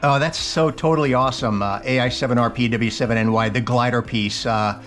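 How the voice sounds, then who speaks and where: A man chatting over ham radio, relaxed and enthusiastic, with lots of fillers, from a small plane cockpit and his radio room. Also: mid-range voice, brisk, soft background music. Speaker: private pilot and ham radio operator. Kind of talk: casual radio chat.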